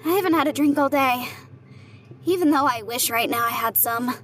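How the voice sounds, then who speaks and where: Woman acting high-voiced, nervous young best friend. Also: normal speed, a bit bright, faint music behind. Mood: anxious resolve. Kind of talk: horror audio drama dialogue.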